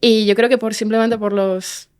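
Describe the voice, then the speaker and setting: A woman's voice: a little high, steady tone, normal speed, a little mellow, smooth. A woman talking casually as an interview guest, informal and often seeking agreement with little tag questions. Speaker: guest, fiction podcast writer.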